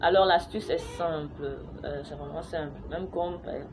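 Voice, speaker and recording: A woman's voice: deep voice, fairly even intonation, normal speed, background noise. A young woman from Cameroon, chatting to camera at home about a home remedy, hesitant, with many fillers and restarts. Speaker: vlogger sharing a home remedy. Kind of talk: casual how-to talk to camera. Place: home, with noisy neighbours and children playing outside.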